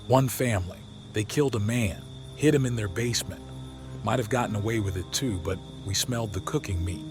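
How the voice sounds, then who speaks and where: A man's voice: on the low side, a little fast, over music, close to an everyday voice. Man acting grim, plain-spoken survivor leader. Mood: grim, matter-of-fact. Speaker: voice actor. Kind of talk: audio comic dub, dramatic dialogue.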